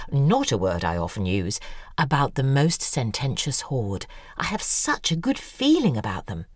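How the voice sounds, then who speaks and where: A self-obsessed woman novelist in an audio drama, with a low, highly animated voice. She is worldly and persuasive, speaking in theatrical, articulate flourishes. The sound is dry and close. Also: dry recording, full character voice.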